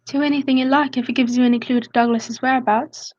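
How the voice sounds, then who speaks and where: A woman's voice: high-pitched, fairly even intonation, quick pace, a little mellow, highs cut off, close to an everyday voice. A woman playing an earnest, pleading young fiancée in a radio drama. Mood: anxious, devoted.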